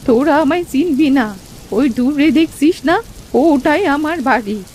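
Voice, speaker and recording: A woman's voice: sits high, expressive, a little slow, a little mellow, slight background noise. A woman voicing an old village grandmother in a cartoon story, asking for help. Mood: pleading, kindly.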